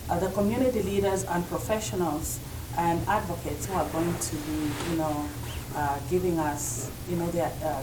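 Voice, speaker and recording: A woman from Zambia giving an informal, hesitant welcome speech to guests at a community event. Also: on the low side. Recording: echoey, distant mic, noisy room.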